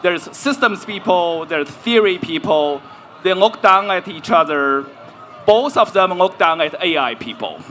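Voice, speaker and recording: A man's voice: high voice, expressive, unhurried, sharp tone, slight background noise. A man in his forties, a researcher on a live panel, explaining at length and checking in with "right?" often. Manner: explanatory, peppers talk with "right?". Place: stage at a festival panel.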